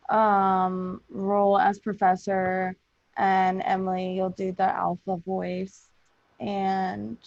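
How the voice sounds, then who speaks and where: A woman's voice: mid-range voice, vocal fry, a little mellow, dry recording. A woman chatting casually as a podcast host organizing a group. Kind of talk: casual chat. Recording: dull, dry, lo-fi audio.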